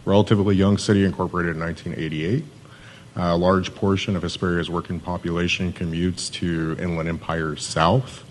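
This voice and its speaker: A man, an economic development manager, giving a conference pitch in a steady monotone with frequent fillers. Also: brisk, slight background noise.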